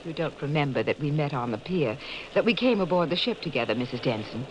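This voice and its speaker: Sinister soothing older-radio lady: deep, firm, condescending, on a noisy vintage recording. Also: expressive, quick pace, lo-fi sound. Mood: coolly insistent. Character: coolly insistent, sinister doctor's wife with soothing concern. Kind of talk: 1940s radio drama acting.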